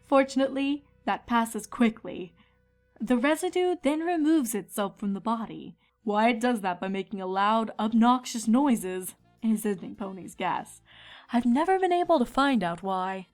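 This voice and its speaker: A woman's voice: on the high side, expressive, unhurried, darkish tone, faint music behind. A woman voicing a regal, placid pony princess who teaches patiently and delicately in a cartoon-style fan reading. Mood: explaining calmly.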